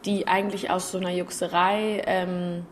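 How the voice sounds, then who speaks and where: A woman in her twenties from Berlin with a Berlin accent, an actress chatting casually as an interview guest, hesitant, often trailing off softly. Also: lowish voice, flat delivery, moderate pace, a little hiss or hum. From Germany. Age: 20s.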